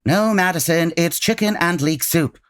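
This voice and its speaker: A man playing a learned, eager gentleman detective, formal and theatrical, in a comedy audio drama. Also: on the high side, normal speed, no room sound, clean studio sound, close to an everyday voice. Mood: exasperated. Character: learned, eager, gentlemanly Victorian detective, patient but exasperated.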